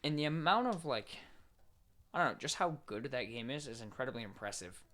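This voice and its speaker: A man of average pitch chats as a podcast co-host. He sounds casual and slangy, feeling his way through each thought out loud in an unscripted way. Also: lively intonation, a little fast. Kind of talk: casual chat about video games.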